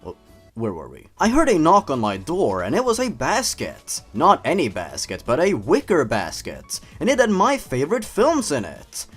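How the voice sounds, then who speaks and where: A man's voice: fairly high pitch, huge pitch swings, moderate pace, a bit bright, breathy edge, soft background music. A man narrating and mocking a horror story in a theatrical, exaggerated comedic style. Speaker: host of a story-reading comedy show.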